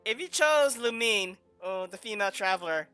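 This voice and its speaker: A woman's voice: mid-range voice, animated, normal speed, pushed and bright, dry recording, soft background music. A woman giving casual live commentary as she streams a video game.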